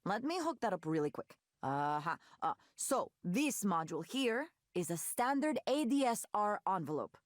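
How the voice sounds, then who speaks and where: An actress voicing a playful, encouraging young woman musician in a visual novel, breezy and quick to tease. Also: mid-range voice, very animated, no room sound, studio quality, lightly acted. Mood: breezy explaining. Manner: breezy, laughing, teasing.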